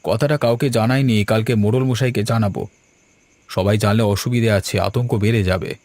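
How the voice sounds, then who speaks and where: A man voicing an earnest adult villager in a Bengali folk-tale cartoon, speaking deliberately. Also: lowish voice, darkish tone, no echo, natural voice. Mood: cautioning. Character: earnest village fisherman and his worried neighbours, adult men.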